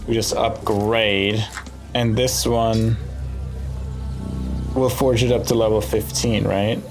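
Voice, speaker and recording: A man's voice: on the low side, level tone, slow pace. A man giving casual playthrough commentary on a game, thinking aloud as he decides, with game music behind him. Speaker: gaming video host.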